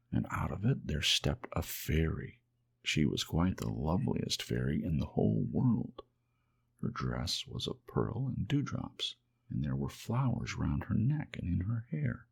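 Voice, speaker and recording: A man softly and expressively narrating a children's storybook as an ASMR audiobook. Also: deep voice, vocal fry, husky.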